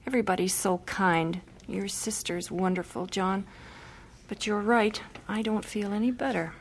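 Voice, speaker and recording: A woman acting as a tired yet determined missionary mother in an audio drama. She is earnest and plain-spoken, in a mid-pitched female voice. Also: steady tone, normal speed, a little hiss or hum, lightly acted. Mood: weary, determined. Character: weary but determined missionary mother back from China.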